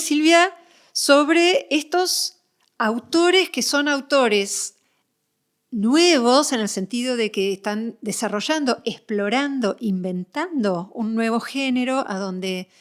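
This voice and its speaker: A woman with an Argentine accent hosting a conversational podcast interview, feeling her way through her questions. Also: a little high.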